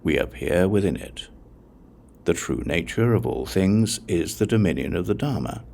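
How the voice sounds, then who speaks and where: A man narrating an audiobook of scripture, reading scripted text in a measured, solemn way, phrase by phrase. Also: low-pitched, expressive, creaky, darkish tone.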